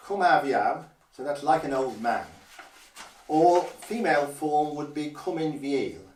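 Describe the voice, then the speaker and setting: An older man from Guernsey teaching basic phrases in a measured, careful way and slowing down to model each phrase. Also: a little high, animated, darkish tone, slight background noise. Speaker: language teacher. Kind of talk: instructional explanation. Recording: roomy echo, a little distant from the mic.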